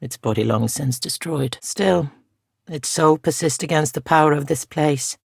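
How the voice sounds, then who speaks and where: A woman voicing an ancient, weary spirit guardian in a video game, speaking softly in solemn, measured, formal archaic phrases. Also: sits low, no echo, clean studio sound, heavily acted. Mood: grave, foreboding. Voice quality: soft, breathy, creaky. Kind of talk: video game character dialogue.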